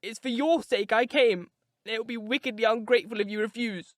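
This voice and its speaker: A man's voice: extremely high pitch, fairly quick, no room sound, clean studio sound, voice pushed up. A man voicing a bold, passionate young woman who speaks in insistent, pleading demands.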